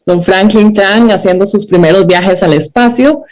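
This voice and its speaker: A woman's voice: medium pitch, moderate pace, a little mellow. A Costa Rican woman in her forties giving an earnest, story-driven talk over a video call, with frequent hesitant fillers. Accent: Costa Rican Spanish. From Costa Rica. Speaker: scientist speaker.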